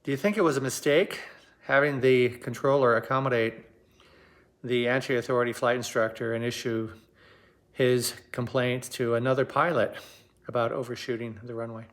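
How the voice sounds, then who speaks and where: A man with a mid-range voice hosting an analysis. He speaks in a measured, explanatory way, pausing to put weight on his points. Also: mid-range voice, steady tone. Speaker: host and aviation commentator. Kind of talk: explanatory commentary.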